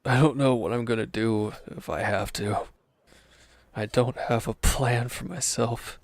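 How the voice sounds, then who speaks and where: A man playing a wounded, defeated villain's henchman in an intimate audio roleplay, bitter, defensive and despondent, halting and sometimes dropping to a near-whisper. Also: medium pitch, fairly even intonation, no room sound, lightly acted. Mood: despondent, weary.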